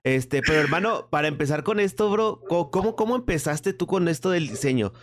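A man's voice: a little high, animated, a little fast. A man hosting a casual stream interview, asking loose, rambling questions in a friendly tone. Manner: friendly, rambling questions, calls guest "hermano". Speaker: interviewer, host.

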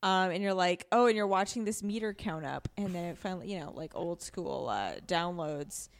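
A woman's voice: fairly low pitch, animated, normal speed. A woman co-hosting a podcast in casual discussion, often thinking aloud as she goes. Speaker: podcast co-host.

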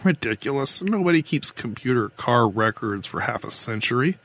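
A man's voice: medium pitch, lively intonation, moderate pace, fairly bright tone. A man voicing a weary, defiant, idealistic older woman who captains a starship in an audiobook. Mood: weary, guarded. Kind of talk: audiobook character dialogue.

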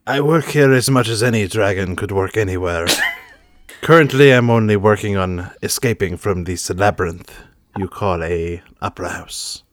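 A man's voice: fairly low pitch, moderate pace, close to an everyday voice. A man playing a lovelorn, theatrical young nobleman disguised as a dragon, speaking in grand, mock-courtly phrases for a tabletop roleplay.

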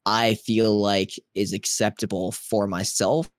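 This is a man co-hosting a casual podcast, his voice set low on purpose. He talks through his thoughts in long, earnest sentences. The sound is close and dry. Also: little pitch movement, no room sound. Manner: deliberately lowers his naturally high voice; reflective, thinks aloud in long sentences. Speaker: podcast co-host.

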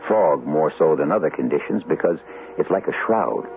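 A man hosting a vintage radio mystery, narrating from a script in a gravelly voice with a measured, ominous manner. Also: very deep voice, animated. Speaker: host and narrator of mystery series. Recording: lo-fi old radio recording, music behind.

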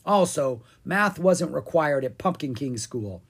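A reader putting on a huffy, animated pumpkin-head king. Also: medium pitch, a little slow, husky. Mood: huffy, griping. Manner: huffy, boastful, theatrical. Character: grumpy, self-important talking pumpkin-head king, male. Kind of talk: animated children's storybook character reading.

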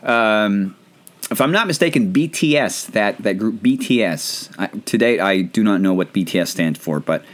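Animated rambling Filipino man. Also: fairly low pitch, moderate pace. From Philippines. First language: not English. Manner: rambling, self-deprecating, animated. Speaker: podcast host. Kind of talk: casual chat.

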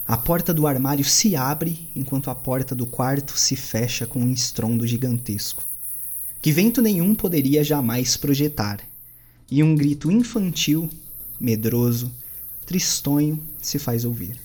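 A man narrating an audio drama and hosting a tabletop game, telling the story in a deliberate, dramatic way that slows for suspense. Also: medium pitch, expressive, darkish tone, breathy edge. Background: faint music.

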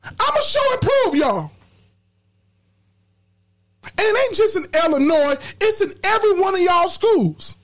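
A woman's voice: very high voice, big pitch swings, unhurried, long pauses. A woman hosting a podcast in casual, colloquial talk, emphatic and preachy, repeating words when fired up. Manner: colloquial slang. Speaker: podcast host.

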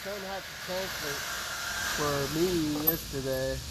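A woman's voice: low-pitched, takes it slowly. A woman chatting casually with friends in an offhand, profane way, on a very noisy, hissy recording. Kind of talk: casual chat among friends.

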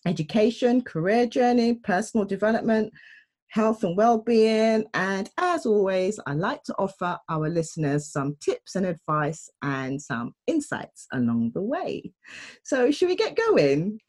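A woman's voice: mid-range voice, sing-song, unhurried, a little mellow. A woman hosting an interview show, speaking in a chatty, effusive and encouraging conversational way.

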